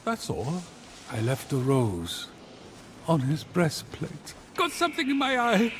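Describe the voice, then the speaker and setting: A vain god-king with a high, wildly swooping voice. He is flippant, whiny and theatrical, an impatient comic ruler in a fantasy video game. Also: wildly swooping pitch, slow, background noise, heavily acted. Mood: wounded, petulant. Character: Zeus, vain, impatient, petulant king of gods.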